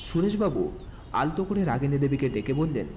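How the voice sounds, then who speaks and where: A man narrates a scripted audio story. His voice is mellow and medium-pitched, with very expressive rises and falls. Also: fairly quick, a little hiss or hum.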